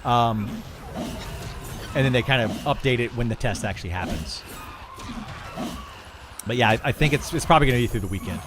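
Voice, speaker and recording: A man's voice: mid-range voice, fairly quick, long pauses. Man chatting on a noisy livestream. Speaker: video game streamer, host. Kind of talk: casual livestream chat, answering viewers. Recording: clear background noise and ambience.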